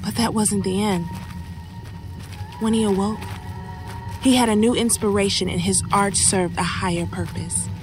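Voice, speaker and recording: A woman's voice: medium pitch, steady tone, unhurried, pauses often, lightly acted. An actress as a solemn woman in a horror tale. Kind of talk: audio horror drama acting. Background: loud dramatic music.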